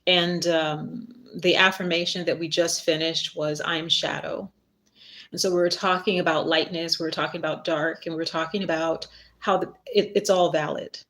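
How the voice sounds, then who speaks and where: A woman's voice: low voice, steady tone, moderate pace, fairly bright tone. A middle-aged woman chatting casually on a live stream, rambling a little and laughing at herself as she reads from her book. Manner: rambling, chatty, self-deprecating. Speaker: author and spiritual worker hosting a live stream.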